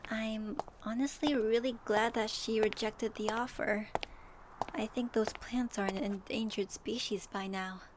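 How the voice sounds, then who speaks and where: A woman playing an earnest, gently wry young traveler in an ASMR audio roleplay, soft and thoughtful. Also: on the high side, fairly even intonation, unhurried, natural voice. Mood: sheepish. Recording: faint noise and ambience.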